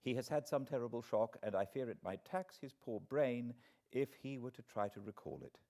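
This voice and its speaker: A man's voice: mid-range voice, expressive, normal speed, dark tone. A British man narrating a classic novel as a dramatic audiobook reading. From Britain.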